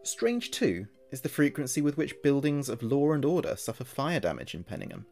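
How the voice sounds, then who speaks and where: A man narrating a scripted horror story in a measured, deliberate storytelling manner. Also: mid-range voice, a little mellow.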